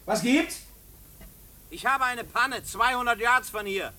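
An actor playing an insistent, menacing stranger in a German radio play, speaking with urgency. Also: male voice, very high voice, slow pace, sharp tone, a little hiss or hum, heavily acted. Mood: urgent.

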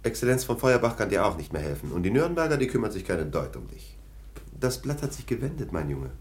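A deep-voiced, scornful police official. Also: male voice, very animated, fast, breathy edge. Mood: menacing. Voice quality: sneering, dry mockery. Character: stern male police official, scornful and mocking. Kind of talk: 1960s German radio play acting.

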